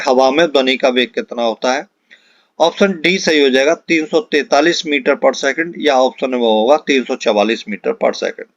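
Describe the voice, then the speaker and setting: A man teaching exam prep, reading out quiz questions and answers in an instructional way with deliberate repetition. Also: a little high, dry recording. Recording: dull, lo-fi close dry recording.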